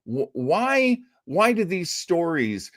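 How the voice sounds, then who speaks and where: A man's voice: fairly high pitch, sing-song, slow pace, fairly bright tone, dry recording, studio quality. A man hosting an old-time radio show, chatting casually and enthusiastically with emphatic, rambling asides.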